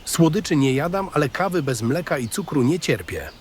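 Mężczyzna grający uprzejmego, swojskiego pisarza, lekki szum tła. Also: male voice, on the high side, animated, moderate pace, a little hiss or hum, lightly acted. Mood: polite, chatty. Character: apologetic, good-natured male writer and country host. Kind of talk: fantasy audio drama dialogue.

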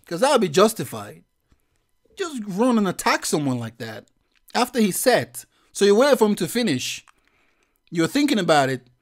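A man giving a profane, slangy reaction commentary as a vlogger, with loud laughing outbursts. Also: on the high side.

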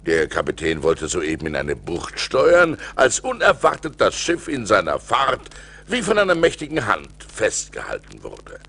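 A man's voice: deep voice, wildly swooping pitch, normal speed, vocal fry, pushed and bright, breathy edge, full character voice. An actor playing an adventurous seafarer recounting his voyages in a theatrical, precise German audio-play style, on a vintage vinyl record. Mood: reflective, suspenseful. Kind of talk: dramatic scripted storytelling, audio play.

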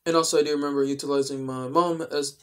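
A young adult man explaining things in a casual vlog style, with careful, halting phrasing, recorded indoors at home. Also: a little high, steady tone, a bit bright, clear, resonant tone. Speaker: video creator. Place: indoors, small room at home.

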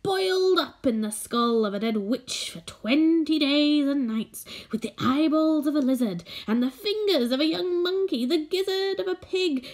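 A tiny, bald, whiskered old man, put on by a woman reading aloud speaks slowly in a creaky, hushed voice. He coaxes in drawn-out whispers, a sly and eerie storybook figure. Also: sits high, voice pushed up, full character voice. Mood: wild excited. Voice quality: slow and creaky. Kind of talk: audiobook character voice.

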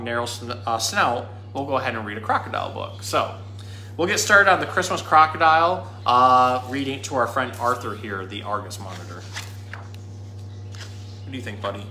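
Male zookeeper presenter, thirties, informal and explanatory, bright forward voice, roomy sound. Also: mid-range voice. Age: 30s. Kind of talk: casual educational talk and storybook reading. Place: small indoor room at a pet store. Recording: echoey room.

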